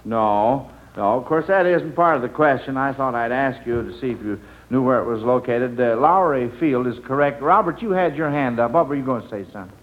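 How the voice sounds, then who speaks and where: A man's voice: mid-range voice, animated, a little fast, hardly any pauses. A man hosting a children's quiz show on old radio, folksy and a little hesitant as he talks. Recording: dull vintage radio broadcast.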